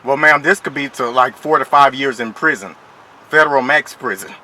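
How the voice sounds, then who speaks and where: A man's voice: medium pitch, moderate pace, pushed and bright. A man playing a stern, threatening child support official on a prank phone call, pushy and formally polite. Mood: stern, pressing. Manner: pushy, mock-official, repeatedly polite "ma'am". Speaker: prank caller. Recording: phone call with faint noise.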